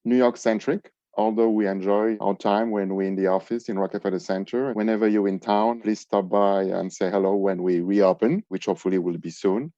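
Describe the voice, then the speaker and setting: A man advising on business development, giving measured, informative answers in a podcast interview. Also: lowish voice, warm tone, dry recording, dull audio.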